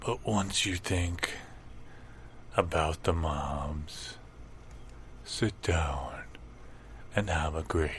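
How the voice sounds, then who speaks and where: Man doing deep, slow, gloomy oddball. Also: fairly bright tone, slight background noise, exaggerated voice. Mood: consoling. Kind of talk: improvised audio comedy.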